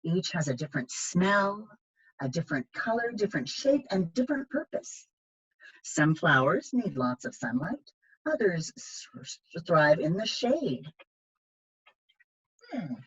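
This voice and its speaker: Clear-voiced lady doing a kids storytime read, really animated, bit lo-fi sound. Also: fairly low pitch, measured pace. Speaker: library storytime reader. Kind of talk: expressive read-aloud of children's picture books.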